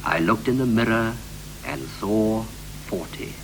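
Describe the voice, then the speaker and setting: An actor playing a wistful married man reflecting on his life in a poetic 1960s radio play, with a measured, lyrical delivery. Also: lowish voice, gravelly, a bit bright, poor audio. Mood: wistful, reflective. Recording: hissy, humming 1960s radio recording.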